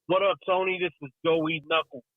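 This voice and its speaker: Brief: friendly male caller, slightly high voice, tinny phone. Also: steady tone, normal speed, no echo. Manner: friendly and chatty. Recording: dry tinny phone line.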